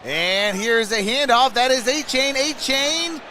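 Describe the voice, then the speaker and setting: A man hosting a sports livestream, calling football play-by-play in short, drawn-out phrases and chatting casually. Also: extremely high pitch, expressive, pushed and bright, a little hiss or hum. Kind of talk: live football commentary with casual chat.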